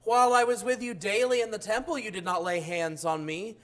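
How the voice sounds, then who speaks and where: A hoarse man delivers a church sermon in a high-pitched voice. He keeps stumbling into fillers and restarts as he speaks. His voice sounds rough and strained. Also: a bit bright. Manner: halting, frequent uh fillers and restarts. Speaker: preacher.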